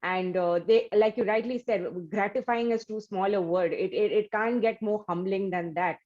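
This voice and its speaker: A woman's voice: mid-range voice, normal speed. Woman host, effusive questions, lots of fillers, slightly muffled audio. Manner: warm, effusive praise with many fillers. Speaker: interviewer host. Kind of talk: interview questions.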